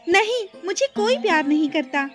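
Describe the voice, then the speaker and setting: A defiant young princess from a cartoon fairy tale, acted by a woman. Her voice is extremely high and lively, with a childlike, insistent edge as she complains. Light music plays behind her. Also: normal speed. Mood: hurt, defiant. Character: stubborn, hurt young runaway princess, a girl.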